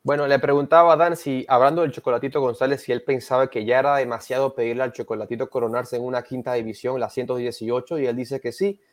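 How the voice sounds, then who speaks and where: A man co-hosting an interview, relaying a guest's answers in a brisk, running summary. He speaks quickly in a mid-range voice and moves between two languages. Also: mid-range voice, steady tone. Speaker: co-host. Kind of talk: bilingual interview, translating.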